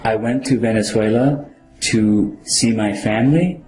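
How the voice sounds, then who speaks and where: A man playing a citizenship applicant in a scripted mock interview, answering slowly and carefully. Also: lowish voice, a little mellow, soft background music. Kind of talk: scripted role-play. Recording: echoey room.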